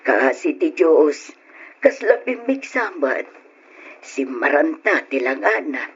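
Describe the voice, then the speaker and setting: A woman's voice: sits low, animated, slow. A woman playing a devout, consoling elderly mother in an old-time Ilocano radio drama, speaking earnestly and sorrowfully. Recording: muffled, noisy old radio recording.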